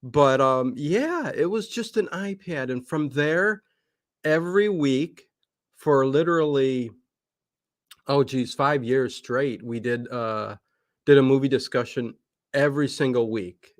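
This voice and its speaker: A man who hosts livestreams, telling stories casually in an animated, chatty way and acting out remembered remarks. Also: medium pitch, slow pace, no room sound, studio quality. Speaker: livestream channel host.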